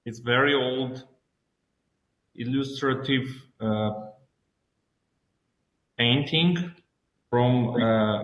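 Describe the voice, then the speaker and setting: Slow-speaking Armenian-accented man in an echoey room. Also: mid-range voice, a bit bright. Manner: halting, searching for words. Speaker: student presenting project, schoolteacher. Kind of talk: informal project presentation on a video call. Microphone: video call. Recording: echoey room on a video call.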